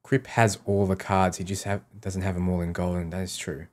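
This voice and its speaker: A low-voiced man talks casually on a gaming livestream. He reads questions aloud and replies offhand, often repeating short sentences to make his point. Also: little pitch movement, fairly quick. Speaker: game streamer answering chat questions.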